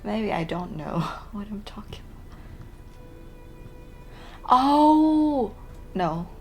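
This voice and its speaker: A woman's voice: mid-range voice, very animated, very slow, faint music behind. A woman streaming a game with casual let's play commentary, musing aloud as she reacts to it.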